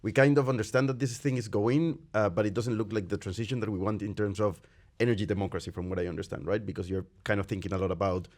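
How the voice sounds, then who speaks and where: A man's voice: fairly low pitch, steady tone, fast talker, a little mellow. A man in the audience of a lecture, asking a long rambling question and thinking aloud with many asides. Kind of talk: long spoken question at a talk. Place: lecture room.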